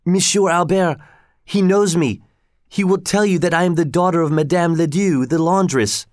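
Man voicing a higher-pitched pleading young French woman. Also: unhurried, dry recording, studio quality, close to an everyday voice. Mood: frightened, pleading. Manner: pleading, excited, politely ironic. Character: pretty young French woman, frightened, polite, pleading, quietly clever.

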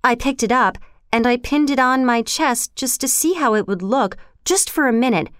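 An excitable, earnest little girl from a storybook, acted out by a woman. Her voice is high and clear, with a breathless, dramatic gush. The sound is dry and close. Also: moderate pace, dry recording, close to an everyday voice. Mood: sheepish. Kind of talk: storybook character voice.

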